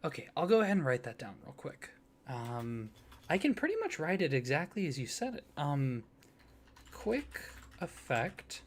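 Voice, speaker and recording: A man speaking softly and warmly in an ASMR roleplay as a friendly card designer, gently enthusiastic. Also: mid-range voice, a little hiss or hum. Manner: soft-spoken, gently enthusiastic. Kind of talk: soft-spoken ASMR roleplay.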